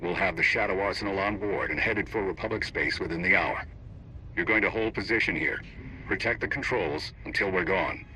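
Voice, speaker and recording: An actor playing a confident spymaster in a video game, briefing a covert squad with authority. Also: male voice, deep voice, fairly quick, bright, forceful tone, a little hiss or hum, rough recording. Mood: commanding.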